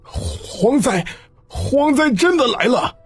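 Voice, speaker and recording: A man's voice: very high-pitched, very animated, takes it slowly, slightly rough, faint music behind, voice pushed up, exaggerated voice. A man voicing a panicky, disbelieving townsman of old China with trembling, alarmed exclamations in an audiobook. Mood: terrified.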